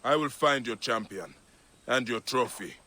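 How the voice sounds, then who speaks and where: An actor playing a grave, determined Egyptian warrior in a video game, measured and stern in manner. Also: male voice, medium pitch, expressive, pushed and bright, slight background noise. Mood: grim, focused.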